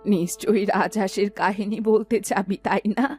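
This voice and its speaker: A woman's voice: mid-range voice, fairly even intonation, normal speed, lightly acted. A woman voicing a doubting old village granny in a cartoon, blunt and disbelieving. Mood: skeptical. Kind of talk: cartoon dialogue acting. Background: music.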